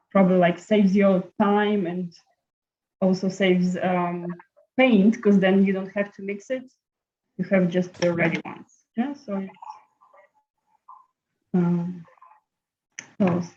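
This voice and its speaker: A woman teaching a friendly live online painting class, chatting in an unhurried way with many fillers. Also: mid-range voice, darkish tone. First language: Latvian. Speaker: art teacher. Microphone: laptop video call. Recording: slightly muffled video call audio.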